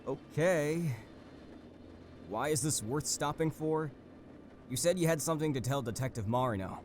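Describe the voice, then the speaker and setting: Impatient detective male actor, conversational and questioning, animated intonation, faint traffic-like ambience; audio drama. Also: on the high side, moderate pace, natural voice. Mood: puzzled. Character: impatient male police detective reporting in from the road. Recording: faint vehicle and ambient noise.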